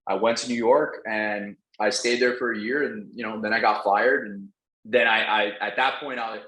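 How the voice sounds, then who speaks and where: A native Spanish-speaking man with a slightly low voice talks informally as an interview guest. He uses slang and curses as he tells stories, in a roomy, echoing recording. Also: steady tone, moderate pace, sharp tone. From Venezuela. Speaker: podcast guest, real estate entrepreneur. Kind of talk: casual interview storytelling.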